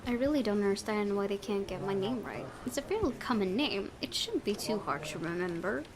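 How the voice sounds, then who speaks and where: A woman's voice: medium pitch, expressive, a little fast, a crowd in the background. A woman hosting a gaming video, reading visual novel narration aloud and occasionally stumbling and correcting herself.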